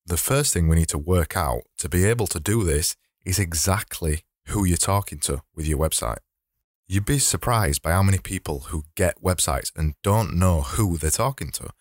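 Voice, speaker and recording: A man hosting a casual solo podcast, his voice slightly hoarse and fading, rambling and correcting himself as he talks. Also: low voice, lively intonation, moderate pace, no room sound, clean studio sound. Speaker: podcast host.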